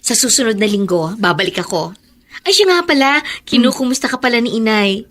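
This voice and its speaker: A woman's voice: a little high, expressive, a little fast, fairly bright tone, a little hiss or hum. A woman playing a caring, bubbly young best friend in a radio drama, with an exclamatory, singsong delivery. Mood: chatty, caring. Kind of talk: Filipino radio drama acting.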